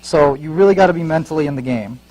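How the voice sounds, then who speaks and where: A man's voice: fairly high pitch, fast talker, darkish tone, low-quality recording. A man in his twenties from Ukraine who moved to the United States, giving an informal motivational talk as an entrepreneur, addressing listeners directly. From Ukraine, later Fort Wayne, United States. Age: 20s. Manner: informal, talks directly to the audience.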